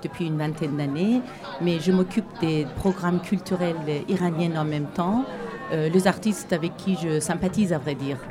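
A woman's voice: on the low side, normal speed. A woman who teaches English and organizes cultural events, explaining her work in a friendly way. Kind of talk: interview answers. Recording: outdoor crowd noise. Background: crowd chatter.

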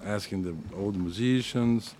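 A man with a Brazilian accent, a musician answering interview questions in halting English with many restarts, his first language being Portuguese. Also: fairly low pitch, a little mellow, a little hiss or hum. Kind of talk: casual interview.

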